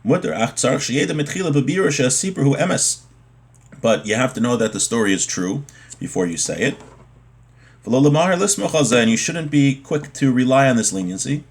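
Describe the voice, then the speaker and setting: A man's voice: mid-range voice, expressive, a little fast. A man giving an informal religious lesson, reading from a text and explaining it point by point, often rephrasing as he goes. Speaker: religious teacher.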